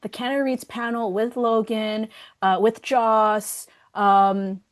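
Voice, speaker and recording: A woman's voice: fairly high pitch, flat delivery, takes it slowly. A woman hosting an online workshop, speaking casually and chattily, often interrupting herself as she makes announcements. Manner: informal, chatty, self-interrupting. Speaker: workshop host and event organizer.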